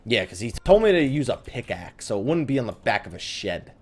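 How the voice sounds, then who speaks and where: Sweary man with very animated, swinging pitch. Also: brisk, slightly rough. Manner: sweary, griping, sarcastic. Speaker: host of a gaming let's play series. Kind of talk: casual let's play commentary.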